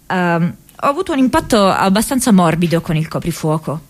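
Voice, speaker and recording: A woman acting a young American activist in a radio theatre monologue, thinking aloud from her diary in a reflective, halting, conversational way. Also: on the low side, sing-song. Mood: musing, casual. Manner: halting, reflective, conversational, with hesitations and repeated words.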